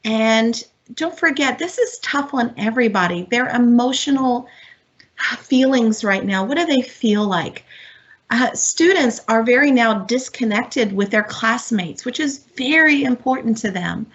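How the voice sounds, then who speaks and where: A woman's voice: fairly high pitch, animated, unhurried. Upbeat woman presenting over dull webinar audio. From Miami, United States. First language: Spanish. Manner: upbeat, encouraging, addresses teachers directly. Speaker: guest presenter for teachers. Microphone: video call.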